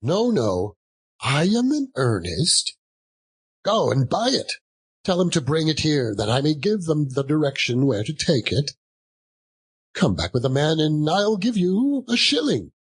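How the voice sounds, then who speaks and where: An elderly, reformed gentleman of a Victorian storybook, acted by a man, bursting with giddy joy. The voice leaps high and swings widely, with a husky edge. He is effusive and exclamatory, forever close to a chuckle. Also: big pitch swings, measured pace, heavily acted. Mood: giddy delight.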